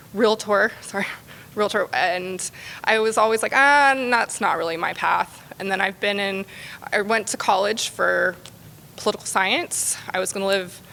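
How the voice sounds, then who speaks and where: A woman's voice: creaky, sharp tone. A woman giving an informal, unscripted self-introduction at a training class, rambling a little and correcting herself as she goes. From grew up in the Middle East, Australia and Houston. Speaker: realtor at a speaker training class. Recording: echoey hall, rumbling background noise.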